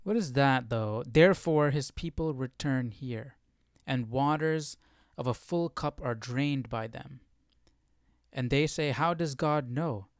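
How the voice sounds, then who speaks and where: A man's voice: mid-range voice, slow pace, no echo. A man hosting a casual live Bible study, explaining as he thinks aloud and often posing questions. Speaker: livestream Bible study host.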